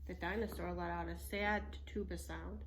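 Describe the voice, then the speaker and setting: A woman's voice: lowish voice, steady tone, moderate pace. Woman reading a storybook, slightly noisy. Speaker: storybook reader. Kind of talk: expressive read-aloud narration for children.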